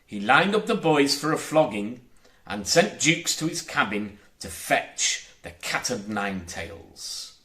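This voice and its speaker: A man narrates a children's audiobook with theatrical expression. His voice is bright and forceful, with a lively, swooping pitch and an unhurried, measured pace. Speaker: audiobook reader. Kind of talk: dramatic children's storybook narration.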